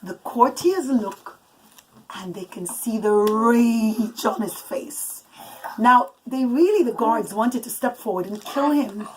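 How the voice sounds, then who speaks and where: Mom doing a bedtime story for kids, highish voice, dramatic n emphatic, bit noisy home recording w clicks. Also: female voice, slow. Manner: dramatic, emphatic, with asides to children. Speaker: mother telling a story to her children. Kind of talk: improvised animated bedtime storytelling. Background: young children chattering.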